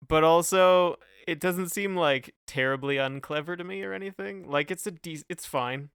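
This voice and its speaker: Guy co-hosting a podcast, super clean bright voice, dry close sound, kinda unsure, thinking out loud. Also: a little high, normal speed, creaky, smooth, no room sound. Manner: hesitant, hedging, self-doubting, trailing off. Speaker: podcast co-host. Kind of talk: casual off-the-cuff podcast discussion.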